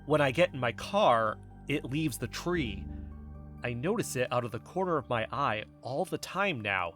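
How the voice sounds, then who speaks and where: Paranoid, agitated podcaster, bright forceful voice. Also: male voice, medium pitch, full character voice. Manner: urgent, insistent, dwelling on suspicions. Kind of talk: audio fiction podcast dialogue.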